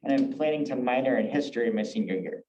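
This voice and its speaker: A man's voice: medium pitch, fast, mellow, dull audio, a little hiss or hum. A man who is a university student introduces himself politely and plainly. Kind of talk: self-introduction. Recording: echoey room from far off.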